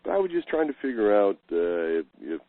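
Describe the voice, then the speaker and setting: Casting: genial, talkative American man, low register, casual call-in chat, tinny telephone audio. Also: sing-song, a little fast, no echo. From Oklahoma, United States. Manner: chatty, jovial, chuckles often. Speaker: caller from Oregon. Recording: narrow phone line, radio-like.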